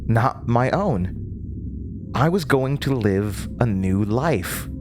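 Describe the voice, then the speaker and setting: A man playing a disturbed, haunted storyteller in a horror audio drama, speaking in a halting, theatrical way over music. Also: mid-range voice, lively intonation, noisy background. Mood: wistful, unsettled. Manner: halting, theatrical, with nervous laughter and drops into whispers. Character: disturbed, haunted storyteller recounting a horrifying childhood. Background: prominent music.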